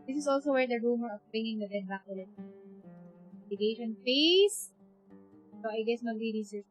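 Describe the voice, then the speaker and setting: A Filipino woman commentates a game live in a high-pitched voice. She reads text aloud casually and drops in little muttered reactions, with game music playing faintly behind her. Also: lively intonation, fairly quick, rough recording. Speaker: game streamer.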